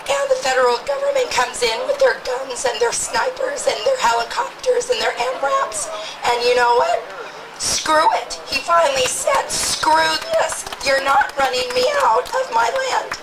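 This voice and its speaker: A woman's voice: very high-pitched, expressive, normal speed, creaky, recorded from a distance, very noisy. A woman with laryngitis speaking passionately at a rally as a local resident. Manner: passionate, indignant.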